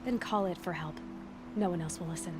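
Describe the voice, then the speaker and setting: A woman's voice: on the low side, fairly quick, over loud music, close to an everyday voice. A woman voicing a self-assured young female hunter in a video game, speaking in a direct, wry way. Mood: firm, scornful.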